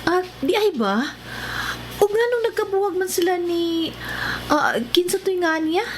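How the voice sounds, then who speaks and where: High-pitched gossipy Visayan salon customer woman. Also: animated, a little slow. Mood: curious. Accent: Filipino, Visayan. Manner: curious, gossipy questioning. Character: chatty, inquisitive woman customer at a beauty parlor. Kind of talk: radio drama acting. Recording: noisy radio drama recording.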